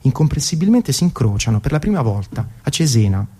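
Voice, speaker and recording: A man's voice: medium pitch, very animated, fairly quick, mellow. A man, reading a scripted music documentary monologue in a theatrical, storytelling way with colloquial asides. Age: 30s. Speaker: radio presenter. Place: radio broadcast.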